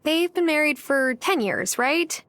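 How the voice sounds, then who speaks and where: Bright-pitched, lively young newcomer farmer. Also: female voice, unhurried, no echo. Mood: surprised, friendly. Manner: friendly, curious, lightly teasing.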